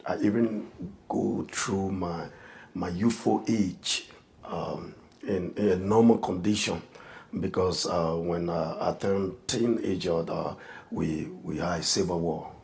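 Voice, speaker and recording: A man in his fifties, a pastor, telling his life story in a halting, earnest way with many restarts. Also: low voice, creaky, a little mellow. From Liberia. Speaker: pastor, guest. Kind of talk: personal testimony in an interview.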